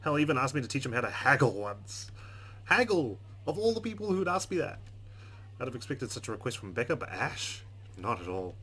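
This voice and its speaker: A man's voice: mid-range voice, sing-song, a little fast, bright, forceful tone, slightly rough. A man hosting a let's play, reading game narration expressively with casual, playful asides. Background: game music.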